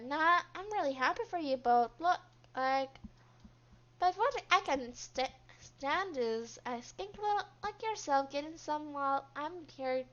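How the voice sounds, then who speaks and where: A woman acts out a brash, jealous young office woman from a visual novel game. The voice is very high, bright and grating, slangy and confrontational, on a slightly lo-fi mic. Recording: dull, lo-fi microphone.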